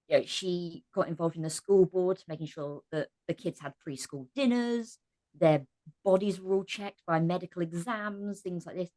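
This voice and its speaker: A woman with a fairly low voice answers interview questions as a podcast guest. She speaks casually and reflectively, like an actor discussing her work, in a very dry recording with no room sound. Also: dry recording, poor audio.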